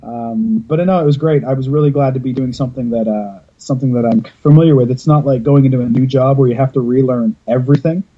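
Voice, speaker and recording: A man's voice: mid-range voice, fast, hardly any pauses, dull audio. A man from Canada chatting casually and jokingly, rambling with fillers and restarts. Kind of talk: casual chat.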